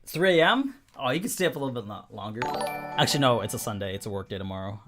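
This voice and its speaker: A young man talks casually on a live stream, his voice a little hoarse after an hour of talking. His pitch sits in a middle register, and he rambles with plenty of fillers and restarts as he answers chat. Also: lively intonation, quick pace, music in the background.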